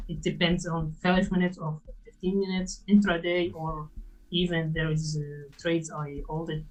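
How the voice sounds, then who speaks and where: A man in his twenties with a Syrian Arabic accent, a native Arabic speaker, answering interview questions in halting English full of fillers. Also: on the high side, little pitch movement, rough recording. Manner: halting, hesitant speech with many fillers and restarts. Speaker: guest trader.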